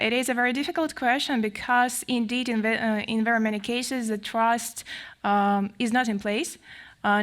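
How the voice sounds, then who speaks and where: A woman's voice: mid-range voice, fairly bright tone. A woman from Latvia with a Latvian accent giving a long, hesitant, explanatory answer as an academic on a panel.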